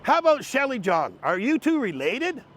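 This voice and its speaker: A man's voice: very high-pitched, wildly swooping pitch, moderate pace, fairly bright tone. A man hosting a commentary video, mocking his subjects in a snarky, sarcastic way. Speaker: commentary host.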